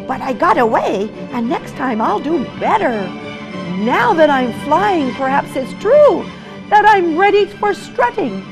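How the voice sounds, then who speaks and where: A woman's voice: sits high, big pitch swings, a little slow, over music, pitched above the performer's own voice, heavily acted, poor audio. A woman voicing an eager, plucky little young male turkey in a storybook, earnest and wishful in rhyme. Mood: proud, hopeful. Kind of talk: storybook character voice.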